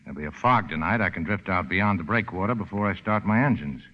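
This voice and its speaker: An actor playing a tough, wry boat skipper in a 1940s radio drama, speaking in terse, deadpan lines. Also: male voice, low voice, quick pace. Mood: wry, guarded. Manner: terse, hard-boiled, deadpan. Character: tough, wry American boat skipper, cool under threat. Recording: dull, noisy old radio recording.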